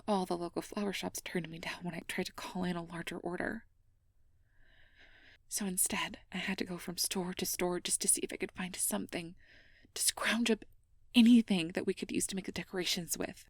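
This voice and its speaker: A woman playing a stressed, self-blaming young air mage who confides haltingly and half-whispered in an intimate ASMR role-play. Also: lowish voice, fairly even intonation, breathy edge, dry recording, studio quality. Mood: frustrated, nervous.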